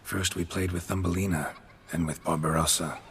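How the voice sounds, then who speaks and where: An actor playing a gruff, stoic monster hunter in a video game cutscene, terse and deadpan, with a gravelly voice. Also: male voice, normal speed, a little hiss or hum. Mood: reading aloud, flat. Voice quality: gravelly, husky low voice.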